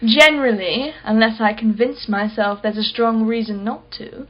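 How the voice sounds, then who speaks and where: Radio drama casting: wealthy female collector played by a woman, higher-pitched, imperious, sardonic and sceptical, slightly muffled recording. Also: normal speed, lightly acted. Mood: wry, playful. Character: haughty, sharp-tongued wealthy female art collector, sceptical. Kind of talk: radio play acting.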